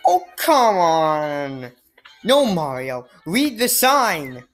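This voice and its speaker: A woman's voice: low voice, sing-song, very slow. A woman commentating on a game she is playing, in a casual, exasperated and sarcastic way, often sighing. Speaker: let's play gamer. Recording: faint game music behind.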